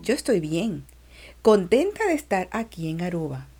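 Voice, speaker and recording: A woman reading a children's lesson as a six-year-old girl who tells her dream in a slow, expressive sing-song. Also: fairly low pitch, slightly rough, faint music behind, exaggerated voice. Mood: cheerful.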